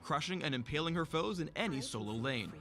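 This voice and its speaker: A man's voice: a little high, animated, a little fast. A man reading scripted narration for a video game character guide. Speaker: game champion spotlight narrator.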